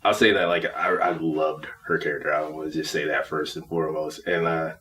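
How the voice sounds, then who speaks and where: A man telling anecdotes casually as a guest, animated and acting out his reactions as he goes. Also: rumbling low, fairly quick. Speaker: guest player on a talk show. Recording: distant mic, faint room noise.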